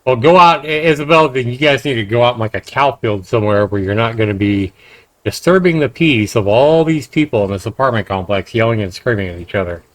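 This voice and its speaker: A man's voice: medium pitch, lively intonation, a little fast, no room sound, poor audio. A man hosting a game livestream, reading narration aloud and dropping in casual comments. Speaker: livestream host.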